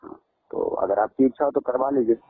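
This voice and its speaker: A man's voice: medium pitch, fairly quick, breathy edge, no echo. A man on a recorded phone call, talking persuasively in a coaxing, avuncular sales manner. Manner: coaxing, often addresses listener as child. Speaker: phone caller selling exam results. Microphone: phone line.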